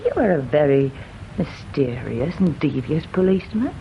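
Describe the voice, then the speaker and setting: An actress playing a guarded daughter who coolly and crisply shields her mother from a police inspector in a radio drama. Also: low voice, sing-song, normal speed, a little hiss or hum, full character voice. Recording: dull lo-fi radio recording.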